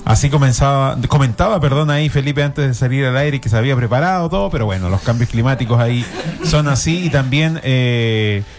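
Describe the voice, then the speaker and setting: Chilean radio host with formal delivery. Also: male voice, medium pitch, a little fast. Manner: formal, with frequent fillers. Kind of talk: radio panel hosting.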